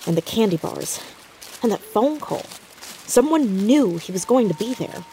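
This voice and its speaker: Mellow, earnest teenage girl sleuth played by a woman. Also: medium pitch, animated, moderate pace, slight background noise, lightly acted, low-quality recording. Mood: earnest, analytical. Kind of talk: naturalistic audio drama dialogue.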